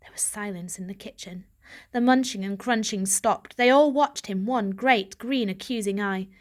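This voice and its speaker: A woman's voice: medium pitch, normal speed. Woman reading a children's story aloud. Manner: expressive, dramatic reading. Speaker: audiobook reader. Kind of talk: storybook narration.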